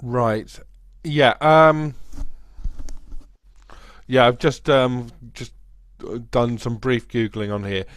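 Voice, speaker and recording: A hesitant man talking slowly on a live show, with many ums and pauses. His voice is lowish, smooth and resonant. The sound is dry and close. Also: fairly even intonation, dry recording. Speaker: podcast panelist. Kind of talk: casual live-stream chat.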